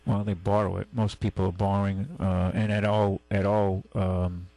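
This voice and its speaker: Gravelly radio host guy, blunt and folksy, dry studio sound. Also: low-pitched, flat delivery, moderate pace, warm tone, no room sound. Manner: blunt, folksy, talks with callers about car money. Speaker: radio call-in show host. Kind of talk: call-in radio chat.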